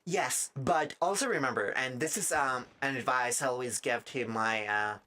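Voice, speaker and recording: Raspy, bright-voiced streamer chatting haltingly. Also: mid-range voice. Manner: halting, self-interrupting, trailing off mid-thought. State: dry throat. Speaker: live streamer. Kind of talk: casual rambling chat while gaming, giving art advice.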